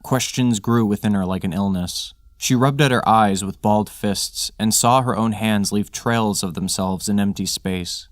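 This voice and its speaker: A man's voice: fairly low pitch, moderate pace, few pauses, dry recording, studio quality. A man reading scripted material as the narrator and host of a fiction podcast.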